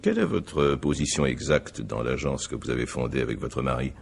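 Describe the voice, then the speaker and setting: A very deep-voiced examining magistrate in a radio mystery. He is husky and gravelly, a courteous man who probes methodically with formal, measured, hesitant questions. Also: lively intonation, full character voice. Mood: probing, formal. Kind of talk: French radio mystery drama acting.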